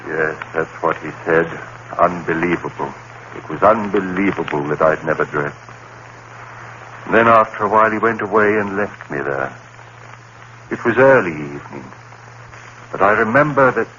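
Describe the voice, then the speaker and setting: A haunted man accused of murder broods over his memories in a genteel, formal way. His voice is bright and edgy. The sound is dull, with the soft hiss of an old radio broadcast. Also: lowish voice, exaggerated voice. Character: haunted male murder suspect, biology teacher. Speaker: lead actor. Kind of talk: 1930s radio drama acting.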